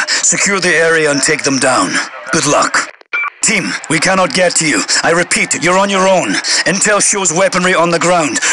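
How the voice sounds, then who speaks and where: An actor playing a stern British major general in a video game, giving clipped, commanding orders through a radio filter. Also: male voice, a little high. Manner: clipped, commanding, formal military brevity. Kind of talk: video game voice-over, military radio announcements. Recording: radio crackle and heavy noise.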